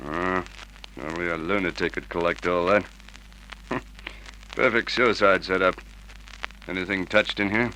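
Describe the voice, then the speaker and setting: Deep, gruff police sergeant. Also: male voice, steady tone, unhurried, long pauses, pushed and bright. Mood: brisk, businesslike. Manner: blunt, businesslike, questioning. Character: gruff, brisk male homicide police sergeant. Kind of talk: 1950s radio detective drama acting. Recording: noisy vintage radio drama recording.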